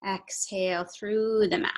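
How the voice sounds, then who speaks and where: A woman yoga instructor giving soothing, measured breathing and movement cues with audible breaths. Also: medium pitch, level tone.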